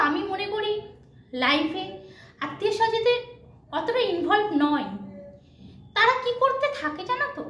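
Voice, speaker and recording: A very high-voiced woman gives a motivational vlog chat to her followers. She is emphatic, repeats points to drive them home and drops in English words. The room is echoey, with faint noise behind her. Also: a bit bright. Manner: mixes English words into speech. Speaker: video blogger.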